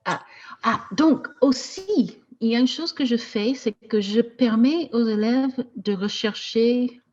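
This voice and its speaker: A woman teaching French as a second language, talking casually with slow, hesitant speech and frequent restarts. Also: a little high, a little mellow, muffled recording, slight background noise. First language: not French. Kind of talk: casual discussion in a video meeting.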